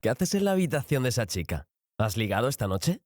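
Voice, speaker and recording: A man's voice: medium pitch, sing-song, very fast, darkish tone. A man playing a nosy, teasing Spanish hotel coworker in an audio roleplay.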